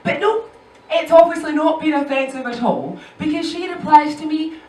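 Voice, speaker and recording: A woman's voice: high-pitched, animated, normal speed, a little mellow. Young Scottish woman, stand-up, chatty asides, heard from a distance in a roomy club. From Scotland. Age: young adult. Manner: chatty, lots of "you know" and "right?" tags. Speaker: stand-up comedian. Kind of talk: stand-up comedy set. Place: comedy club stage.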